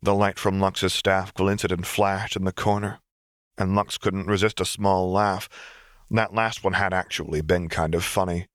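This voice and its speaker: Male fiction narrator, low register, dramatic scripted read, dry studio-style sound. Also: little pitch movement, a little slow, fairly bright tone, no room sound. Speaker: audiobook narrator.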